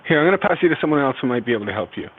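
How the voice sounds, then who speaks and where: A man's voice: mid-range voice, lively intonation, very fast, slight background noise. Man on a tinny phone line. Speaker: computer repair shop phone worker. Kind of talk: customer service phone call.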